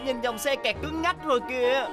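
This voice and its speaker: A woman's voice: high-pitched, fairly quick, over loud music, lightly acted. A woman voicing a friendly, playful little boy bus in a cartoon dub.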